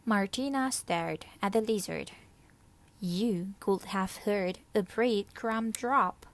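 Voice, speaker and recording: A woman's voice: medium pitch, slow pace, no echo. A woman narrating a children's story from a book, reading expressively. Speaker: storybook reader.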